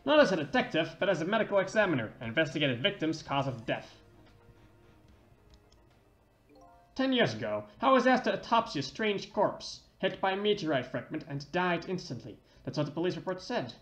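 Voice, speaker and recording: A man voicing an older junkyard superintendent, a former medical examiner, telling a tale gravely. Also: a little high, sing-song, quick pace, hardly any pauses, a bit bright, soft background music. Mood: grave, mysterious. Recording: dull lo-fi roomy mic.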